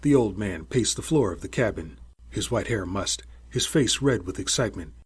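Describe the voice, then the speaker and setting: Very husky, animated male audiobook narrator. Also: on the low side, moderate pace. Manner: expressive, suspenseful storytelling. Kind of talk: dramatic scripted story narration.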